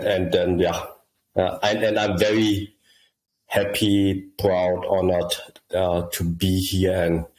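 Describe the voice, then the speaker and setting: Low-voiced man with a German accent, roomy sound. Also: a little mellow. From Laos. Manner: halting, many repeated words. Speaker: guest, project creator. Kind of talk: casual interview talk.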